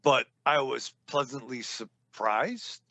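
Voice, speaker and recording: A man with a New England accent trades crude jokes as a podcast co-host. His voice is gravelly yet bright. The sound is dry and close. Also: medium pitch, takes it slowly, no echo. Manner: rambling, joking, swears a lot. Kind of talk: casual, profane banter.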